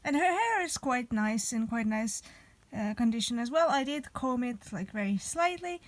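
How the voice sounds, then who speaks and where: Young woman with a fairly high voice, chatty doll show and tell, kinda rambly. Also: lively intonation, moderate pace. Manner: chatty, rambling with fillers and restarts. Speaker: vlogger.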